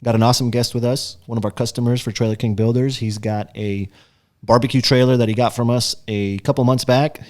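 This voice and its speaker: A man's voice: medium pitch, steady tone, a little fast, a little mellow. A man with a mid-range voice hosts a podcast in an upbeat, chatty way. He welcomes his guest and asks questions from a small office studio. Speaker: podcast host. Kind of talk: casual podcast hosting.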